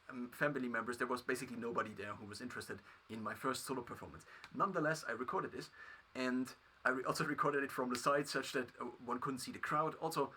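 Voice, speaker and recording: German guy rambling to camera, kinda sharp tense tone, stops and restarts a lot, mid pitch. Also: a little hiss or hum. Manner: halting, many restarts and fillers. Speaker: video creator. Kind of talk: reflective solo monologue.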